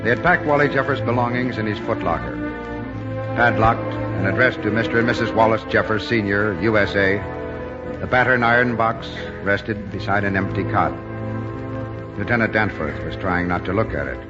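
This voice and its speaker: A man's voice: on the low side, normal speed, over music. A man with an American accent giving dramatic scripted narration for an old-time radio drama. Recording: dull vintage radio recording.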